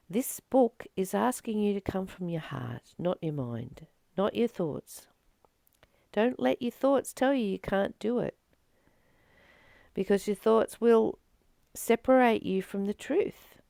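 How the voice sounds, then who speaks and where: A woman reading aloud and reflecting on spiritual teachings in a deliberate, emphatic way, with pauses between phrases. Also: medium pitch, gravelly, a little mellow, no echo, clean studio sound. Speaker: host of a spiritual reading channel.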